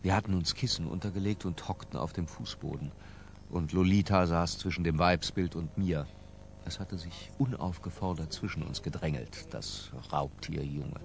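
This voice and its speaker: An actor playing an obsessed, middle-aged European intellectual who narrates his diary in a radio play, measured, erudite and disdainful. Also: deep voice, vocal fry, a little hiss or hum. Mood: brooding, confessional.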